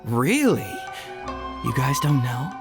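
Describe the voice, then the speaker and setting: Brash young townsman in a game, lively swoops, persuasive and gossipy, over music. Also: mid-range voice, slow, vocal fry, heavily acted. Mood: eager, persuasive. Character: cocky, gossipy young man hyping a money scheme. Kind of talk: anime game voice acting. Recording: background music.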